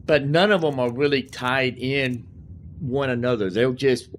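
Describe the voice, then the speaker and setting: A man's voice: medium pitch, a little slow, a little hiss or hum. A man hosting casual gameplay commentary, rambling and repeating himself as he talks back to game characters. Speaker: gaming host.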